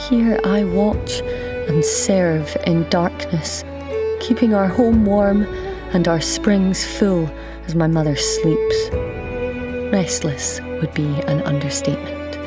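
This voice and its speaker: Immortal imprisoned goddess, brooding, yearning, measured literary delivery, audio drama, sound bed behind. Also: female voice, fairly low pitch, loud music behind the voice. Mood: brooding, bitter.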